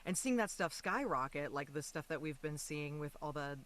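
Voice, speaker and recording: A woman in a relaxed interview chat, speaking as the guest. Her delivery is informal and animated, with thoughts that branch off and restart mid-sentence. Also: deep voice, normal speed. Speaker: podcast guest, actor.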